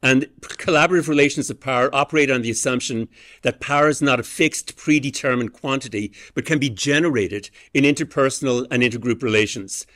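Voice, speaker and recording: A man giving an academic lecture as an education professor, explaining ideas in a measured way with frequent hesitant fillers. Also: mid-range voice, fairly bright tone.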